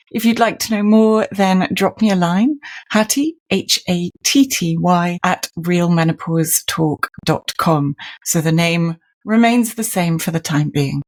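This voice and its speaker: A woman hosting a podcast, reading an ad and introduction before chatting in an earnest, measured way. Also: medium pitch. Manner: earnest, measured, warmly appreciative. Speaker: podcast host and coach. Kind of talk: scripted intro then interview chat.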